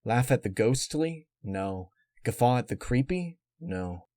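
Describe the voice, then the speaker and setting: A man's voice: mid-range voice, lively intonation, measured pace, mellow, no echo, studio quality. A man reading scripted fiction aloud as its narrator.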